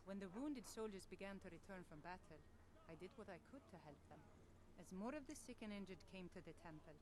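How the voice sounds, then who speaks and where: A solemn fantasy-game priestess played by a woman speaks in a lowish, measured voice. She is devout, earnest and gently grave, with noticeable ambient noise behind her. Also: close to an everyday voice. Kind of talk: scripted fantasy video game dialogue.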